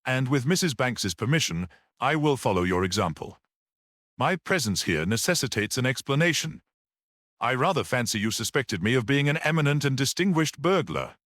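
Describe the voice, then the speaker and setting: Casting: man reading as a polite, dry, self-assured storybook detective, formal and measured, with a swooping intonation. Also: mid-range voice, sing-song, fairly bright tone, dry recording, voice pushed up. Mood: courteous, dry. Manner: formal, measured, clear and courteous.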